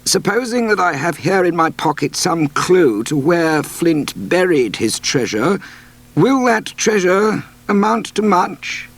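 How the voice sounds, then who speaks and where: A man reading a storybook aloud, voicing a calm, level-headed country doctor who asks pointed questions in a raised voice. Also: high voice, slow, voice pushed up, heavily acted. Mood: measured.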